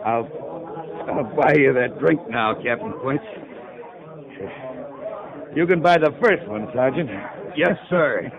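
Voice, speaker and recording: A man's voice: medium pitch, slow, pauses often. A man playing a respectful army sergeant in a 1950s radio western. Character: respectful army sergeant, plain-spoken soldier. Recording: muffled 1950s radio broadcast, crowd behind.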